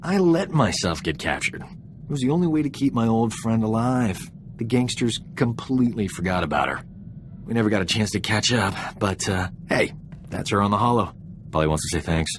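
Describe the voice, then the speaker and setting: Low-voiced cocky rogue medic played by an actor. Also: male voice, lively intonation, fairly quick, slight background noise, close to an everyday voice. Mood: cocky, flippant. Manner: glib, breezy, self-satisfied, flippant. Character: roguish, cocky male combat medic and ladies' man. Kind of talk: video game voice acting.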